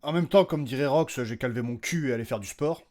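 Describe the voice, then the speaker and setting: A man's voice: medium pitch, fast, darkish tone. A man hosting his own podcast, giving an unscripted, informal announcement in a rambling, slangy, self-deprecating way. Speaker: podcast creator and host.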